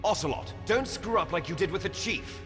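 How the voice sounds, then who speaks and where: A man's voice: high-pitched, lively intonation, fairly quick, a bit bright. An actor playing an imperious, menacing male terrorist commander in a video game, with a theatrical, taunting delivery. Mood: stern, commanding. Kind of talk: dramatic video game voice acting. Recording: long hall-like reverb. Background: music.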